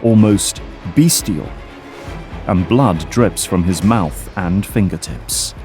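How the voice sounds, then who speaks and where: A man's voice: low-pitched, takes it slowly, a little mellow, soft background music. A man reading scripted, dramatic third-person narration for an audio drama as its narrator.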